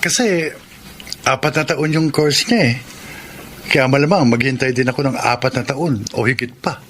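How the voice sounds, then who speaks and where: A man's voice: mid-range voice, normal speed, a bit bright, slight background noise, lightly acted. A man with a Filipino accent acting as an earnest, lovestruck suitor in a radio romance drama. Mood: impatient.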